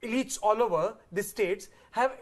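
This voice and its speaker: A man's voice: high-pitched, moderate pace. A man making a professorial argument in a TV debate, with restarts and lists. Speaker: debate panelist.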